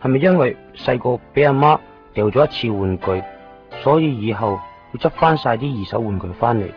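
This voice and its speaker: A man's voice: mid-range voice, expressive, a little fast, soft background music, lightly acted. A man acting a brooding, self-questioning young man in a 1990s Cantonese radio drama monologue, with a Hong Kong accent. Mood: wistful, musing. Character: brooding young man musing on his own emptiness. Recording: dull lo-fi 1990s radio recording.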